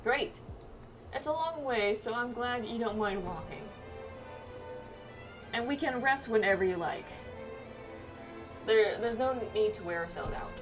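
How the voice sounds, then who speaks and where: A woman with a fairly high voice reads game dialogue aloud in a casual playthrough. Her delivery is halting and conversational, mixed with her own reactions. Game music plays clearly behind her. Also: animated. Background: video game music.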